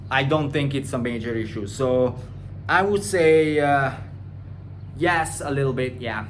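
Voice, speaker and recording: Blunt trainer with a Hungarian accent. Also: male voice, slight background noise. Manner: blunt and opinionated, rising loud and high when ranting; drops to a quieter, slower tone when explaining. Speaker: personal trainer answering viewer questions. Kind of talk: casual Q&A monologue.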